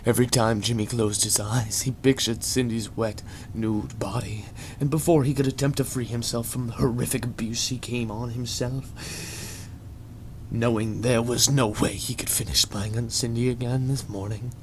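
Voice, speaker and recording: A man's voice: medium pitch, steady tone, normal speed, a little hiss or hum. A man giving a dramatic scripted reading of a story as its narrator. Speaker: narrator of a fan fiction reading.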